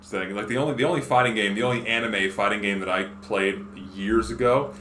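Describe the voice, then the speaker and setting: An easygoing man talks in a relaxed chat, often stammering as he gets going. His voice sits on the low side, and the room adds a clear echo with faint ambient noise behind. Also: brisk. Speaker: guest streamer. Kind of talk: casual chat on a stream.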